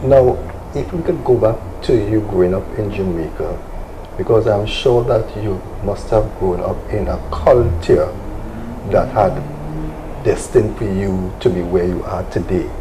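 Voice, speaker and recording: A man with a very low voice hosts the conversation and puts questions to his guest. He hesitates, using fillers and restarts. There is a noticeable hiss behind him. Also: unhurried. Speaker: talk show host, interviewer. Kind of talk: interview questions.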